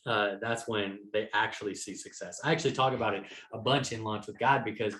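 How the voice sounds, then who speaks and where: A man's voice: lowish voice, fairly even intonation, fairly quick, a bit bright. A man in his thirties talking casually and emphatically as a podcast guest, with informal, youthful phrasing. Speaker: podcast guest, entrepreneur and author. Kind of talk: casual interview conversation. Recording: roomy, echoing sound.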